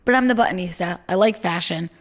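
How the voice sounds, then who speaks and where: A young woman co-hosting a podcast from home in casual chat, talking in a chatty, self-deprecating way with a low voice. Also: quick pace. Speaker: podcast co-host.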